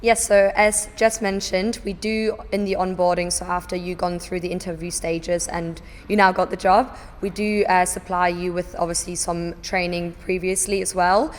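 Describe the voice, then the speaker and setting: A woman on the training staff explaining the onboarding process in a measured, explanatory way during a webinar. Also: lowish voice, level tone. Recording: long hall reverb.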